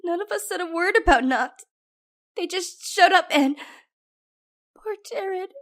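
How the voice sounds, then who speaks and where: Woman acting very high-pitched, distressed young girl. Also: lively intonation, measured pace, a bit bright, full character voice. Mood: guilty, upset. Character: distressed teenage girl, sweet younger sister. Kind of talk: audio drama acting.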